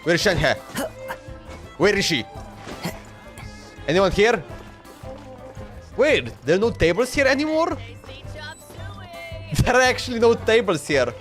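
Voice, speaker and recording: Streamer dude rambling about the game, says bro a lot, a bit high, faint music behind. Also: male voice, sing-song, slow, pauses often, fairly bright tone. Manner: loose and chatty, says "bro" and "man" a lot, laughs often. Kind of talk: casual livestream chat while playing.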